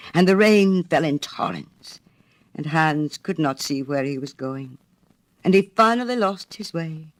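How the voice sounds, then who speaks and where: A woman reading a literary story aloud in a theatrical manner, with dramatic phrasing. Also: very deep voice. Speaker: storyteller, reader. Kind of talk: expressive literary storybook narration.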